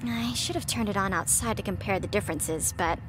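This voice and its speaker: A woman's voice: medium pitch, fairly quick, over music, lightly acted, poor audio. A woman playing a chatty, eager young livestreamer on a vampire hunt in an audio drama, with a casual delivery. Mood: informative. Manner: casual, animated.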